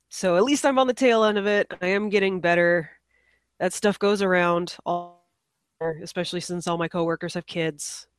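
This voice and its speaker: A woman's voice: on the low side, dry recording. Woman, congested from a cold, casual podcast co-host, self-deprecating, dry close sound. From United States. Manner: apologetic, self-deprecating, laughs at herself. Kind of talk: casual chat.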